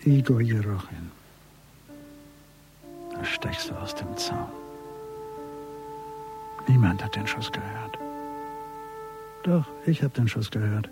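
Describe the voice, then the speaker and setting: An actor playing a brooding avenger, detached and deliberate, speaking his cold thoughts in a radio play. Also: fairly low pitch, wildly swooping pitch, full character voice. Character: brooding male avenger, coldly indifferent to death. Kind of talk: radio play interior monologue. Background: music with French horn.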